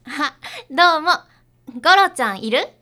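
A woman's voice: sits high, expressive, measured pace, heavy background noise. A young woman playing a perky, cheeky underground idol with a gushing, breezy manner in a radio drama. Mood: gushing, excited.

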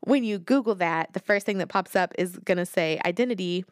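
A woman co-hosting a podcast in casual chat, animated and reflective, thinking aloud with fillers. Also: lowish voice, fairly quick, dry recording, studio quality. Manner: animated, reflective, talks things through with fillers. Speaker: podcast co-host.

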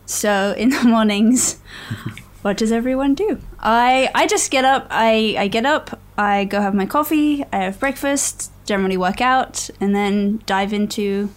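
A woman's voice: fairly high pitch, a little slow. A young woman from England with an English accent, co-hosting a podcast in cheerful casual chat and laughing often. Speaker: podcast co-host.

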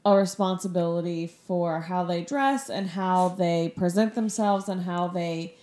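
A woman's voice: fairly low pitch, unhurried, warm tone. Woman explaining her view in an echoey room. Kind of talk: casual podcast discussion.

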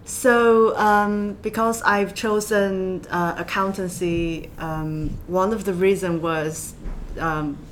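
A woman from southwest China with a Chinese accent, answering interview questions in halting, hesitant phrases. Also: steady tone. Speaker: podcast guest, accountant. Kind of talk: interview conversation. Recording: low rumble and background noise.

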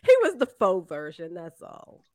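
A woman's voice: on the low side, huge pitch swings, unhurried. A woman co-hosting, chiming in with playful teasing remarks.

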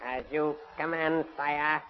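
A man voicing a deferential servant in a 1930s radio serial, speaking quietly. The old recording sounds dull. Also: on the high side, steady tone, takes it slowly, slight background noise. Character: humble male servant to a prince, deferential.

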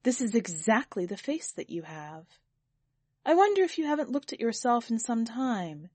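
A woman's voice: on the high side, very animated, moderate pace, warm tone, no room sound. Woman reading as a careful, deferential veteran painter. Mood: wary, frank. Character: nervous veteran male painter, deferential yet frank.

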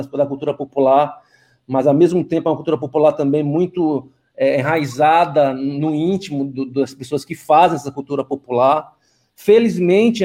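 A man's voice: a little high, dark tone. A man from in Brazil, a filmmaker guest speaking earnestly and reflectively in an interview, often tagging his sentences with "né?". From Maranhão, Brazil. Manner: earnest, frequent "né?" tag.

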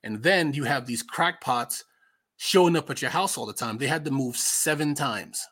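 A man's voice: mid-range voice, moderate pace, a bit bright. A man with an American accent giving casual, opinionated political commentary as a podcast host, conversational and emphatic, repeating himself for effect.

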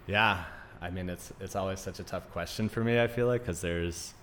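A man in his thirties speaks in a low voice as a podcast guest. He tells his story in an informal, rambling way, with light noise behind him. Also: moderate pace, fairly bright tone. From Greece, Denmark and Arizona. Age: 30s. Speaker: podcast guest, naturopathic doctor. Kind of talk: casual conversational interview.

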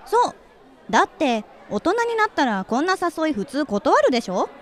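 A woman's voice: high voice, sing-song, moderate pace, some chatter behind. An actress playing a friendly, forward, sporty woman in her twenties in a radio drama, chatting casually and directly. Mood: chatty, candid. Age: 20s.

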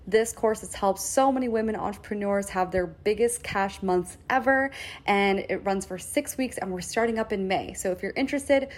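A woman podcast host reads her own promo with bubbly excitement. Her voice sits in a middle range and she sells with upbeat energy, recorded at home. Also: normal speed. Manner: bubbly, excited sales pitch. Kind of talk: upbeat self-promotion read. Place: new home.

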